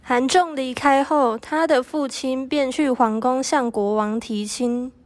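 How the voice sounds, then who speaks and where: High-voiced teenage girl narrating a story. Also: fairly even intonation, a little slow, no room sound, clean studio sound. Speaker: student narrator of a radio drama.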